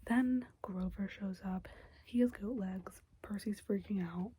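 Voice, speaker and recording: A young woman giving a casual, chatty recap of a TV episode in a soft whisper, close to the mic, with lots of fillers. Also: mid-range voice, unhurried, slightly rough, no room sound.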